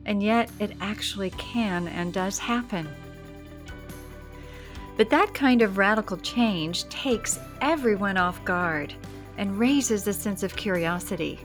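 A woman hosting a podcast, reading a measured introduction and then asking a guest questions. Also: medium pitch, soft background music. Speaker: podcast host. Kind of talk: scripted intro then interview.

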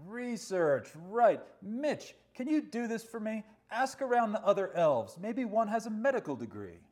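A woman's voice: medium pitch, very animated, darkish tone. Woman playing a blustery old Santa, swooping and emphatic. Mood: grateful, brisk. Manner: emphatic, drawn-out, theatrical delivery. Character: jolly, blustery old male Santa Claus. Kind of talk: rhyming Christmas storybook reading.

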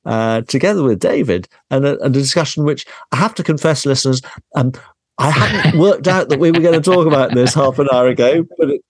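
A man's voice: mid-range voice, fairly quick, husky, no echo, clean studio sound. A man hosting a podcast, enthusiastic and a little rambling. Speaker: podcast host. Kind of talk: casual podcast chat.

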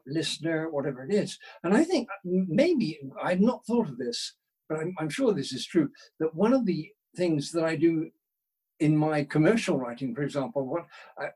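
Older male interview guest, higher-pitched with a husky grain, modest, hesitant and conversational. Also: a little mellow, low-quality recording. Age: 60s or older. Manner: self-deprecating, hesitant, thoughtful, rephrasing as he goes.

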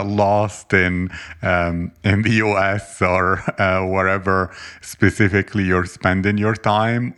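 A man's voice: deep voice, a bit bright. A man interviewing a guest, asking long, earnest, meandering questions in a conversational way. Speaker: interviewer, host.

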